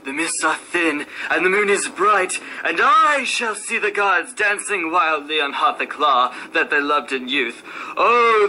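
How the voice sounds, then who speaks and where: A man voicing a wild prophet in a horror story, declaiming and shouting in frenzy. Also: on the high side, voice pushed up, exaggerated voice. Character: exultant wild old prophet, shrieking from ecstasy into terror. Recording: noisy radio station room.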